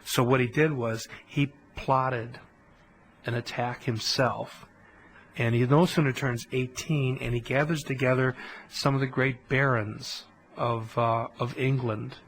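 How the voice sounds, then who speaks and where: A man giving a conversational history lecture on a podcast, telling it as an animated story with colloquial asides. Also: mid-range voice, low-quality recording.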